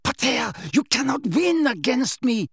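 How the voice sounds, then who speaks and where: Fanatical dark witch played by a male narrator, storybook villain: high-flung shrill voice with a creaky rasp, cruel, menacing. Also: very animated, a little slow, dry recording, studio quality, exaggerated voice. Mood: menacing, taunting. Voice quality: shrill, raspy. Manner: theatrical, menacing.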